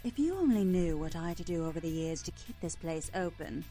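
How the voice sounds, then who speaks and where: A woman's voice: on the low side, lively intonation, a little fast, warm tone, faint music behind. A woman playing a hard-nosed, businesslike nightclub owner in a video game, with a familiar, dealmaking manner.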